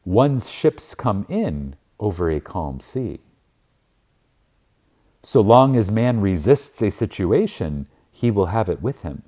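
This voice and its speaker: A man narrating a spiritual audiobook in a reverent, emphatic manner with deliberate dramatic pauses. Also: fairly low pitch, big pitch swings, mellow.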